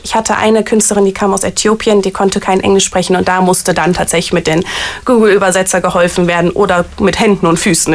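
Chatty woman in an interview. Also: mid-range voice. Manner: chatty, eager, frequent fillers like "tatsächlich". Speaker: artist liaison at a variety theater. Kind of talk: casual interview answers.

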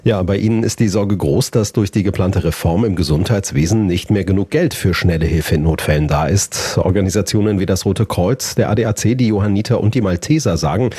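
Male news-desk reporter, calm and explanatory, with a dark voice in a dry studio. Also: sits low, fairly quick, hardly any pauses, husky, no room sound.

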